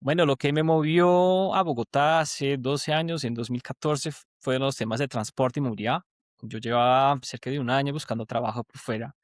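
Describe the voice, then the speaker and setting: A man's voice: medium pitch, dry recording, clean studio sound. A man in his thirties from Portugal whose first language is Portuguese, talking at length as a podcast guest, fluent and reflective with some restarts. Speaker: guest, transport project leader. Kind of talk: conversational interview.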